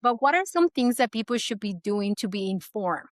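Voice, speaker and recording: A woman's voice: mid-range voice, sing-song, normal speed, no room sound, studio quality. A woman hosting a podcast who interviews her guest with enthusiasm, often affirming what she says. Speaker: podcast host.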